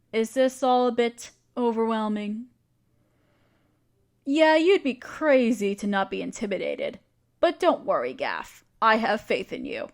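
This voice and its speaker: A woman playing an earnest, caring necromancer in a scripted audio roleplay, speaking directly to the listener in a conversational, reassuring way. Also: a little high. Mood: reassuring, encouraging. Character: earnest, caring female necromancer with a grand plan.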